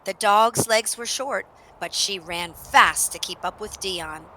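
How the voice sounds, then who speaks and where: A woman narrating a children's story in an expressive read-aloud style, with dramatic emphasis on action words. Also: mid-range voice, slow pace, slight background noise, poor audio.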